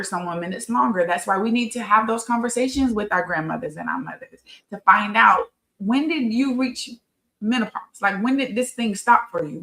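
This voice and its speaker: A woman's voice: mid-range voice, creaky, sharp tone, poor audio. The fifty-year-old woman you would hear hosting an evening women's health session, explaining things conversationally and driving points home with emphatic repeats. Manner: emphatic, conversational, repeats phrases for stress and checks in with "right?". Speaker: host of a women's health talk show. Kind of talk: informal educational talk with personal anecdotes.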